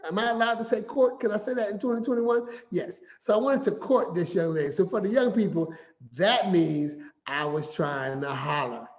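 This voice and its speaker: A man giving a finance talk as an animated, playful storyteller who acts out quotes. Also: high voice, normal speed. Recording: echoey room, slightly dull sound.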